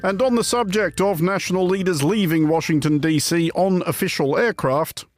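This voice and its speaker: Deadpan male satirist, higher-pitched, over music. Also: normal speed. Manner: deadpan, wry, wordy. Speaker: radio presenter of a satirical news review. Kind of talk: scripted satirical narration. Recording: music bed under the voice.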